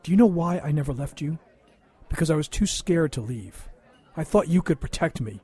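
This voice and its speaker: Big traumatized brother, husky higher male voice, bitter and hurt, radio drama. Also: lively intonation, moderate pace, warm tone, no room sound. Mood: bitter, accusing. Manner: bitter, wounded. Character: big, traumatized, resentful grown man, younger brother. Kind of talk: audio drama acting.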